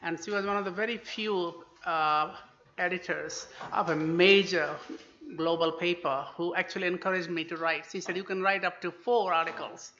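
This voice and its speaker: Guy giving a lecture, keeps saying uh, kinda high voice, muffled recording. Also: steady tone, normal speed, bright, forceful tone. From India. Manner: hesitant with many "uh" fillers, anecdotal. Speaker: guest speaker, international relations professor. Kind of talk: lecture-style book talk. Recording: dull lo-fi recording.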